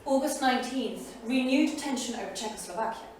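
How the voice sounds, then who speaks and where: An actress plays an intense woman narrator in a stage drama, her voice high and declamatory. She measures out each phrase with deliberate pauses. The recording is very echoey and distant, with faint noise. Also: expressive, natural voice. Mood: sombre. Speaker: stage actor in a staged reading. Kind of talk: dramatic theatrical reading. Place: small theatre studio.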